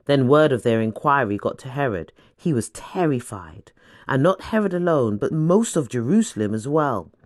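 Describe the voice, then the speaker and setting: A woman reading scripture as scripted audiobook narration, with a deliberate, dramatic storytelling delivery. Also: very deep voice, animated, dry recording, studio quality.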